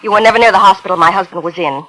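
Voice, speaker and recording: Actress as a sharp, accusing radio-drama wife. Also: female voice, medium pitch, racing, close to an everyday voice, poor audio. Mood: accusing, demanding. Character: suspicious, unyielding married woman confronting an intruder. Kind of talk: 1950s radio suspense acting. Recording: vintage 1950s radio recording.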